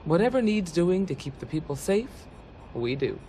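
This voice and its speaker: A woman's voice: fairly low pitch, big pitch swings, normal speed, slight background noise. A woman playing an earnest, folksy female ranger recruiter in a video game. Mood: earnest, matter-of-fact. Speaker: recruiting officer. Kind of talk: video game character dialogue. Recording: game audio with loud music bed. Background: music.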